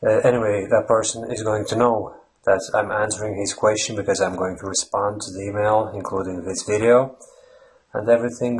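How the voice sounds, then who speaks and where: Gravelly low-voiced Latvian man. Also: rough recording. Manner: rambling, many restarts and fillers. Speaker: language learning channel host. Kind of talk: unscripted explanatory monologue. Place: Ireland.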